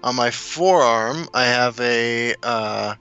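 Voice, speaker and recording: Relaxed guy rambling thru stories, sharp bright voice, kinda muffled lo-fi sound. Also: medium pitch, slow pace, clean tone, soft background music. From South Dakota, raised in Kansas City. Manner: relaxed, rambling storyteller, laughs often. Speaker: podcast guest. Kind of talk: casual chat.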